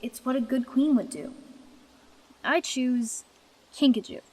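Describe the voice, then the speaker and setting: A woman's voice: high voice, unhurried, a little mellow, big-room sound, poor audio. A woman voicing a young female dragon in a fan dub, determined and sardonic, wanting to be queen. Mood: determined, wary.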